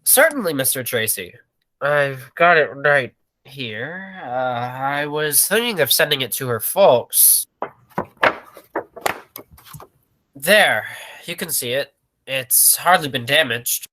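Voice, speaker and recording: An actor playing a businesslike, callous circus boss in a 1940s-style radio play, with emphatic stagey delivery. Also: male voice, medium pitch, animated, slow, noisy background. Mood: matter-of-fact.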